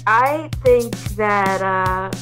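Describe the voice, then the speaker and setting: A woman's voice: medium pitch, drawn-out words, over music. A woman co-hosting a casual, playful movie-reaction chat. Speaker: podcast co-host.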